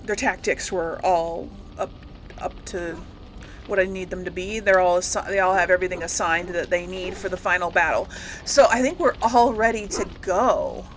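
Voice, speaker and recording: A woman's voice: on the low side, moderate pace, a little hiss or hum, rough recording. A woman giving casual, rambling let's-play commentary to viewers, thinking aloud as she goes. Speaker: gaming video host.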